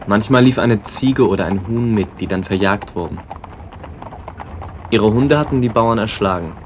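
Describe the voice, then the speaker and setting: A man's voice: on the low side, normal speed, slight background noise. A man reading scripted documentary narration for a radio play in a matter-of-fact way.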